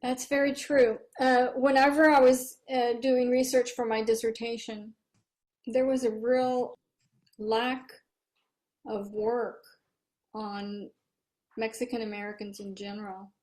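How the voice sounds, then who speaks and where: A woman hosting a podcast interview, asking measured questions. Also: fairly high pitch, level tone, a little mellow. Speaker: podcast host.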